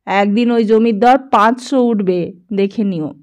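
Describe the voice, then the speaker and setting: A woman reader plays a proud landowner from an audiobook story, a man who scorns buyers. The delivery is emphatic and dismissive, a little raised in pitch over her own narration. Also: unhurried, darkish tone, close to an everyday voice. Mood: scornful, confident. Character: proud, stubborn long-settled male landowner, scornful of buyers.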